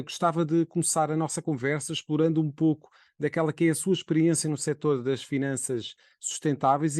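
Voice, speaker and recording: A man with a European Portuguese accent hosting a podcast as a journalist, reading a formal introduction and posing measured interview questions. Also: a little high, darkish tone, no echo, studio quality.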